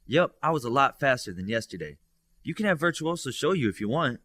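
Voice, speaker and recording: A man's voice: medium pitch, very animated, fairly quick, a bit bright, no room sound, pitched above the performer's own voice. A man voicing an easygoing, helpful young male student in an audiobook, casual and upbeat.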